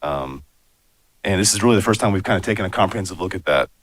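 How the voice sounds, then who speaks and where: A man with a raspy voice, hoarse from a cold, presenting a plan to a city commission in a loose, conversational way with lots of fillers. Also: low-pitched, expressive, quick pace, no echo. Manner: conversational, many fillers and asides. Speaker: bicycle and pedestrian planner presenting. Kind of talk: public meeting presentation.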